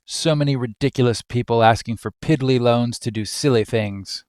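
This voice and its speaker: A man's voice: mid-range voice, normal speed, darkish tone, dry recording, natural voice. A man acts out a stern, wealthy father in a scripted drama, haughty and full of scorn. He blusters in old-fashioned phrases, and the sound is dry, with hardly any room to it. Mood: scornful.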